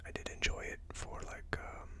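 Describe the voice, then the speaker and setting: Asmr host whispering, kinda unsure and musing out loud, all breath no voice. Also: moderate pace. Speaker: host of a whiskey tasting series.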